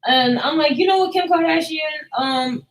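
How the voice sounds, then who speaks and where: A woman's voice: high-pitched, moderate pace. A woman hosting a casual podcast chat about celebrity news in a slangy, emphatic way with some swearing. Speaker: podcast host.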